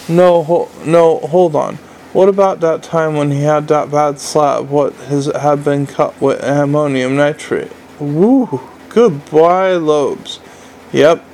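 A man voicing a dim-witted young male troll who speaks slowly and rambles in halting fits. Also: a little high, darkish tone, a little hiss or hum. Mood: rambling. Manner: slow, halting, rambling speech.

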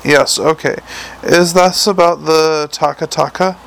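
Male reader as world-weary cop boss: clipped, dry, gravelly. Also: on the high side, fairly even intonation, measured pace, fairly bright tone. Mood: brusque. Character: weary, blunt male city watch commander.

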